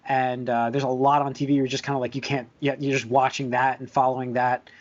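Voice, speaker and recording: A man hosting a podcast in casual chat, halting and hedging, often repeating his words as he goes. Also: mid-range voice, little pitch movement, a little hiss or hum. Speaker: podcast host. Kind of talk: casual chat about movies and shows. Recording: dull lo-fi voice recording.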